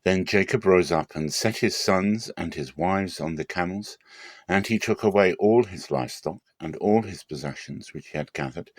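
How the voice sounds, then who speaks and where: A man narrating scripture as a dramatic reading, measured, with phrases falling at their ends. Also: low-pitched, no room sound. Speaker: Bible reader.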